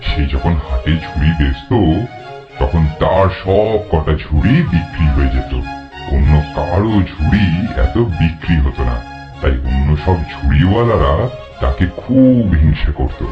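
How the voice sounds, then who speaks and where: A man's voice: a bass voice, expressive, a little slow, lo-fi sound. A man narrating a fairy tale from a script as the storyteller. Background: plucked guitar music.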